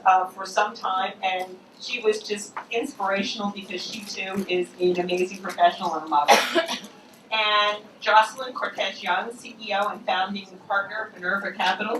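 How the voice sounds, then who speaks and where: A woman's voice: mid-range voice, normal speed, a bit bright, a little hiss or hum. A woman introducing the guests of a panel, in a hesitant way with many fillers. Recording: echoey hall, distant mic.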